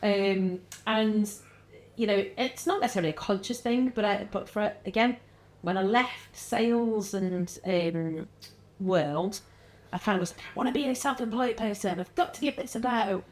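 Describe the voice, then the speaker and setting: A podcast guest, a woman whose mild Liverpool-area accent colours her speech, talks in an easy conversational way. Her voice sits at a middle pitch, and she slips into little acted-out voices when retelling what people said to her. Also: normal speed. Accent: mild Liverpool-area English. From Liverpool area, England. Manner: animated, acts out quoted voices, frequent laughs. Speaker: guest, wellness business founder.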